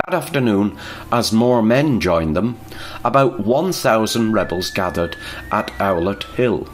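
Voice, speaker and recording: Male narrator, lowish voice, measured documentary read over a faint hiss. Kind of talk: scripted history narration.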